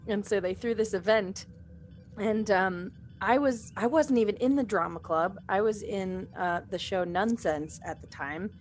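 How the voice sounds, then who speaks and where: A woman's voice: medium pitch, unhurried, slight background noise. A woman telling an anecdote in casual conversation, quoting people as she goes. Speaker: podcast cast member.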